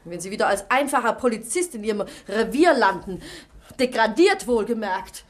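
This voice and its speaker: An actress playing a sharp-tongued woman amateur sleuth in a radio play. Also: a little high, lively intonation, normal speed, lightly acted. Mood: indignant. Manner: tart and indignant. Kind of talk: 1960s German radio play acting.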